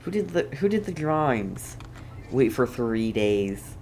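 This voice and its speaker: A game streamer chats casually through a playthrough. The delivery is slow and hesitant, as if thinking aloud, with faint background noise. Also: medium pitch, big pitch swings, darkish tone.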